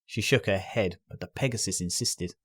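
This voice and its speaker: A man's voice: low-pitched, moderate pace, slightly rough. A man reading scripted story narration as the narrator. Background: music.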